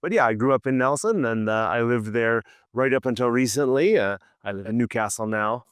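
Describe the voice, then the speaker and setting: Man, middle-aged, historian guest, relaxed fillers, resonant voice, dry recording. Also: medium pitch, brisk, smooth, no echo. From Canada. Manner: relaxed, hedging with "you know" and "uh". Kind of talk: casual interview.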